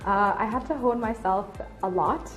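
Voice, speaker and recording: A woman's voice: medium pitch, moderate pace, soft background music. A woman in her late twenties giving candid, articulate explanations in an interview. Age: late 20s. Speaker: air traffic control officer and instructor being interviewed.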